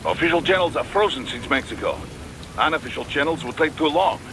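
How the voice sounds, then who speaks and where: An actor playing an excitable male support operator, reacting quickly and nervously. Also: a little high, a little hiss or hum. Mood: worried. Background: music.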